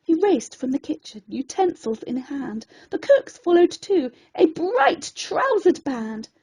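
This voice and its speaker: A woman storyteller reading a rhyming children's bedtime story in an expressive, theatrical and deliberate way. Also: very high-pitched, rough recording.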